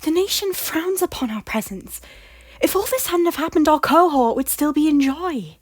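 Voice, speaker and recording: A woman's voice: high voice, lively intonation, normal speed, no echo. A woman voicing an anxious, driven young woman in medieval Scotland, speaking urgently and pleadingly. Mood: earnest. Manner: urgent and pleading, with sudden loud outbursts. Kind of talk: amateur audio drama acting.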